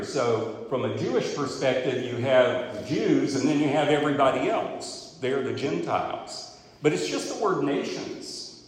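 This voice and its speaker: A man's voice: mid-range voice, darkish tone. Male preacher, Bible teaching, reads verses then explains, big echo, long reverb. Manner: reads scripture aloud, then explains it conversationally. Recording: echoey hall with long reverb.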